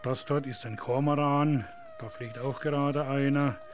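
A man who guides listeners outdoors by the river, explaining calmly in a field recording. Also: mid-range voice, measured pace, background noise. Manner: calm, explanatory. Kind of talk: field reportage.

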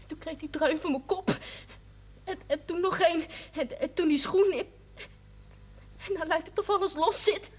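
An actress playing a frail, naive pregnant village girl in a television drama, hurt yet brave, speaking in a childlike, halting way. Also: extremely high pitch, darkish tone. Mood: shaken, hurt. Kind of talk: naturalistic television drama acting. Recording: slightly hissy old television soundtrack.

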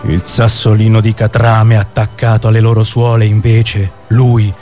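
A man's voice: fairly low pitch, monotone, normal speed, warm tone. Man reading dramatic monologue over prominent music. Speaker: radio show author and performer. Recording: music bed behind the voice.

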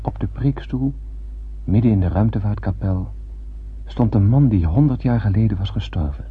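An actor playing an astronaut who narrates a solemn space tale in poetic, measured radio play style. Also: male voice, fairly low pitch, animated, slightly rough. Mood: reflective. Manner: poetic, measured literary storytelling. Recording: muffled phone-like old radio recording, noisy.

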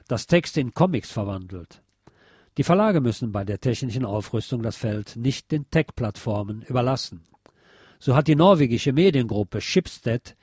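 Guy reading out a serious written essay, mid-range voice, measured and formal, no room echo at all. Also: medium pitch, darkish tone, breathy edge, studio quality. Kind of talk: scripted narration of a journalistic essay.

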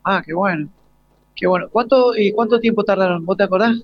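A man's voice: sits high, fairly quick, dry recording. An Argentine man hosting an informal live radio interview, asking hesitant questions with repeated starts. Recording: slightly dull, lo-fi live broadcast.

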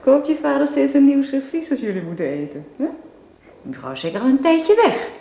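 An actress playing a brisk, kindly city governess in a radio play, coaxing and playful, often ending on little questions. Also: female voice, high-pitched, very animated, gravelly, roomy sound, highs cut off, exaggerated voice. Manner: coaxing, chirpy, tag questions.

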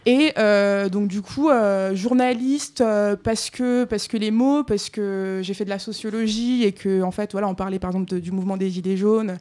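A woman's voice: mid-range voice, steady tone, moderate pace, hardly any pauses, dark tone. Hesitant young woman on the radio. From Congo. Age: 20s. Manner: thoughtful, hesitant, many fillers. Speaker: guest, freelance journalist and podcaster. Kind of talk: radio interview.

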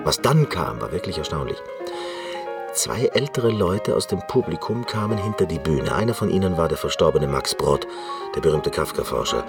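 An actor playing an eccentric, reclusive concert pianist in a radio play monologue, speaking in an intense, deliberate, reflective way. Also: male voice, deep voice, sing-song, vocal fry, over music, exaggerated voice.

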